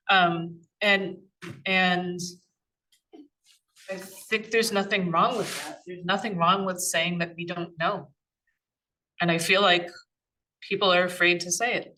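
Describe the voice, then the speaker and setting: A woman's voice: fairly low pitch, bright, forceful tone, far from the mic, a little hiss or hum, low-quality recording. A woman in a workshop discussion, speaking thoughtfully with frequent restarts and "you know"s. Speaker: workshop participant. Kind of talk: reflective group discussion.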